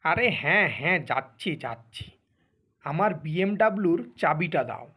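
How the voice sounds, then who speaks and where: A man's voice: fairly high pitch, expressive, moderate pace, sharp tone, slightly rough, exaggerated voice. A man voicing a boastful, lazy husband from a faded landlord family in a Bengali cartoon, with a pompous, bragging manner.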